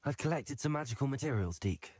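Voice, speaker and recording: A man's voice: mid-range voice, animated, fast, darkish tone, slightly rough, no room sound, clean studio sound. An actor playing a polite, earnest young male student wizard in a video game, speaking in a courteous, composed way. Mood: polite, curious. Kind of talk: scripted video game character dialogue.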